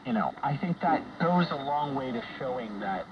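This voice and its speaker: A man hosting a conversational radio discussion, explaining things in a measured, hesitant way. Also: medium pitch, lively intonation. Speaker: radio show host. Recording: dull, noisy shortwave radio broadcast.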